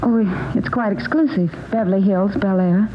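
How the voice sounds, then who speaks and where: An actress playing a polite, guarded doctor's receptionist in a 1940s radio drama. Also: female voice, medium pitch, moderate pace, natural voice. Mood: polite, helpful. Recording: muffled, noisy old radio broadcast recording.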